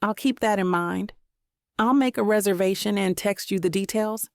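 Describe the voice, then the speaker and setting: A woman voicing a confident, charming businessman who flirts smoothly in an audiobook. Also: mid-range voice, moderate pace, dry recording, clean studio sound, natural voice. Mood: flirtatious. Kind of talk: audiobook character dialogue.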